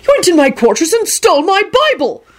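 A woman voicing an indignant, angry man shouting accusations in a storybook reading. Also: very high voice, very animated, a little fast, pitched above the performer's own voice.

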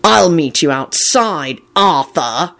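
A woman's voice: low-pitched, lively intonation, slow pace, creaky, fairly bright tone, full character voice. A woman voicing a cold, menacing senior wizard, a man with a snarling manner, in a story. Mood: cold menace.

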